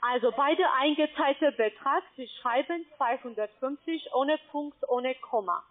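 High-voiced woman on a tinny phone line. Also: unhurried. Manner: instructing step by step, broken grammar. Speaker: scam call center agent. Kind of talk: phone call.

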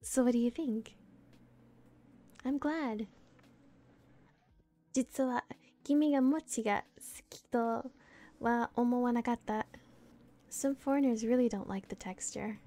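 Woman playing a soft, mellow, bashful older sister. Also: a little high. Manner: gentle, giggly, bilingual English and Japanese. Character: friendly, bashful, teasing Japanese-speaking older sister. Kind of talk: soft ASMR roleplay, casual chat.

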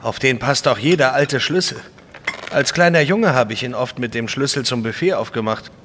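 In a long reverb with faint noise, a man plays a reckless young gambler son in an audio drama. His voice is bright and sharp, and he presses his point insistently. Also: mid-range voice, moderate pace, lightly acted. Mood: casual, probing. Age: young adult. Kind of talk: German audio drama acting. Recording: reverberant hall, noisy ambience behind.

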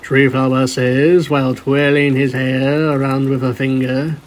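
A man's voice: mid-range voice, little pitch movement, measured pace, a little hiss or hum, low-quality recording. A man reading a scripted story aloud as its narrator. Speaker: narrator of a fan fiction reading. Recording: music bed behind the voice.

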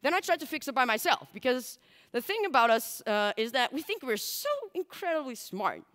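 A woman's voice: high voice, big pitch swings, a little fast, a bit bright. A woman in her twenties, a native Portuguese speaker, giving a candid, chatty conference talk on a large stage through a headset mic. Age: 20s. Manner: candid and chatty, full of likes and asides. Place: large dark stage with audience. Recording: long hall reverb.

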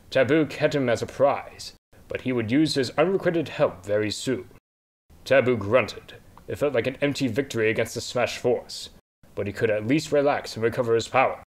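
A man reads the narration of a fan-made adventure in a mid-range voice. His delivery is dramatic and storybook-like, and it carries the scene between the characters. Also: mid-range voice. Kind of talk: scripted narration.

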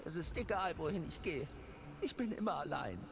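An actor voicing a lonely, self-pitying alien drifter in a video game, muttering in a whine. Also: male voice, a little high, animated, brisk, creaky, background noise. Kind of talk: video game character dialogue.

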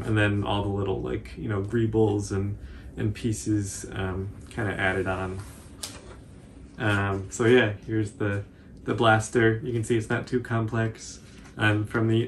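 Young guy casually explaining a build, gravelly fry, bit of noise behind him. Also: lowish voice. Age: young adult. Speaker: cosplay tutorial co-host. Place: small furnished room indoors, on a couch.

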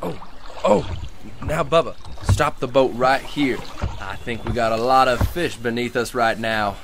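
A man's voice: medium pitch, a little slow, background noise, natural voice. An actor playing a bossy, exasperated redneck fisherman with a Southern US accent in a comedic audio drama, scolding in folksy rural phrases. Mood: eager.

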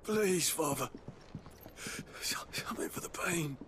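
An actor playing a suffering, pleading patient near death in a video game, his voice weak and breathy. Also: a little high, animated, takes it slowly, background noise. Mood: pleading, pained. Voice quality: weak, whispery. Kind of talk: video game cutscene acting.

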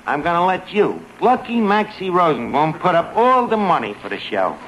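A sardonic new york bar hustler, old radio comedy, pitched high and swingy, loads of noise. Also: male voice, big pitch swings, moderate pace. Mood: coaxing. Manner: sardonic, slangy, malapropping. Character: wisecracking, scheming, uneducated tavern manager, streetwise and brash. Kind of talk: 1940s American radio comedy acting. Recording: noisy vintage radio broadcast recording.

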